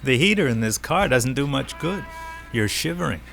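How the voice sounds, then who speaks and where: An actor playing the ghost of a dead soldier, an eerily calm and plainspoken Army buddy, in an American radio drama. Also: male voice, mid-range voice, moderate pace, creaky. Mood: reflective, haunted. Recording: vintage radio recording, music behind.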